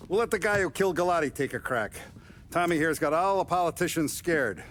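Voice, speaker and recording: Commanding mob boss, menacing. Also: male voice, on the high side, expressive, fairly quick, a bit bright, slight background noise. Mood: stern, displeased. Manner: authoritative, menacing. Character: ruthless, commanding middle-aged male mob boss. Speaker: crime boss. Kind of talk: video game cutscene acting.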